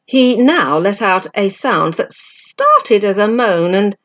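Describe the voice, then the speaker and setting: A woman reading a children's storybook aloud as narration, with an expressive, dramatic delivery. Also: medium pitch, takes it slowly. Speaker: amateur book reader.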